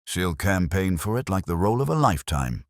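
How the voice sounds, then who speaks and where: A man playing a deep, kindly police inspector. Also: very animated, brisk, dry recording, clean studio sound. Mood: reassuring, wry. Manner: gentle, wry, reassuring. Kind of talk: storybook character dialogue.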